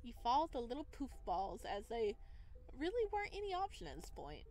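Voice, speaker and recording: A woman reading a visual novel aloud and commenting on it in a gushing, excitable way. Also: on the high side, fairly quick, a little hiss or hum. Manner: gushing, excitable over cute things. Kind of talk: dramatic read-aloud with excited playthrough commentary. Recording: background music behind voice.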